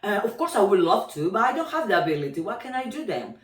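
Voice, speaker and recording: A Spanish-speaking woman from Peru talks to camera in a loose, chatty way, full of restarts and quick laughs. Her strong Peruvian accent colors every word. The sound is roomy and slightly distant, as if the mic sits a few feet away. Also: mid-range voice, big pitch swings. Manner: chatty, many fillers and restarts, frequent laughs. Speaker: Spanish teacher. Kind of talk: casual talk to camera.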